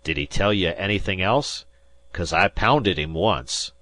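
A man voicing a grudging old fishing-schooner captain in slow rustic dialect. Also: low-pitched, lively intonation, sharp tone, exaggerated voice.

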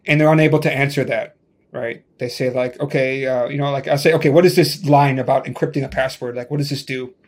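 Male podcast host, mid pitch, casual and rambling, video-call audio. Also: steady tone, quick pace. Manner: loose and chatty, full of "like" and restarts. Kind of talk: casual tech interview.

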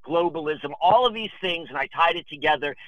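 A man in his fifties talking casually as a podcast guest, telling rambling stories in an excitable, digressive, emphatic manner. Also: fairly high pitch, brisk, no room sound, muffled recording. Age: 50s. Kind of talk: animated rambling storytelling and casual chat.

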